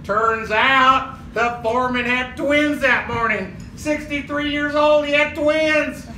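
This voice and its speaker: A man's voice: very high voice, slow pace, pushed and bright, full character voice. A man on a theatre stage playing an apologetic defense lawyer who rambles reassurance, in live radio-play style. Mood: rambling, reassuring. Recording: echoey theatre with background noise.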